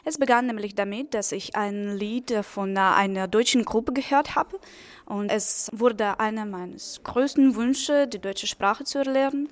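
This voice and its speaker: A woman's voice: medium pitch, a little slow. A young woman, a linguistics student telling her story with a few fillers. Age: young adult. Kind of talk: self-introduction.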